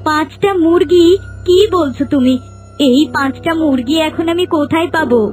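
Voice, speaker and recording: Cartoon casting: actress as spiteful young village wife, high voice, melodramatic delivery. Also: female voice, moderate pace, a little mellow, soft background music, close to an everyday voice. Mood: anxious, pleading. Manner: dramatic, exclamatory. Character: spiteful young second wife, scolding bully turned cowering servant. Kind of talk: Bengali cartoon voice acting.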